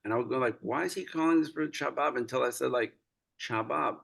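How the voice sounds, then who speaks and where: A man raised in the United States, a rabbi speaking earnestly in a lecture-style talk over a video call, warming into animated storytelling. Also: medium pitch, fast, gravelly. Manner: earnest, storytelling, gets animated and laughs while recounting.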